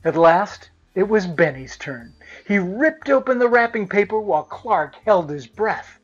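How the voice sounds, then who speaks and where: Animated woman reading a children's story. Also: mid-range voice, measured pace, slightly rough. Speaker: storybook narrator. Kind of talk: expressive children's read-aloud narration. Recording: slightly muffled, music behind.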